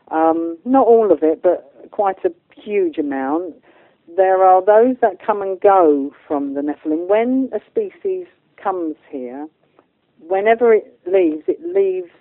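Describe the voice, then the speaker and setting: A woman's voice: fairly low pitch, no room sound. A woman guest explaining spiritual beliefs in an informal, rambling way, often checking that she is followed. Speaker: guest medium and channeller. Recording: narrow, phone-like line.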